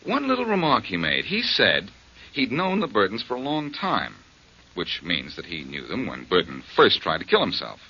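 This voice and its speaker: A man's voice: fairly low pitch, huge pitch swings, moderate pace, pushed and bright, husky, heavily acted. An actor playing a breezy, amused male detective in a 1940s radio drama, recorded on a muffled old broadcast. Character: wry, cocky male detective hero.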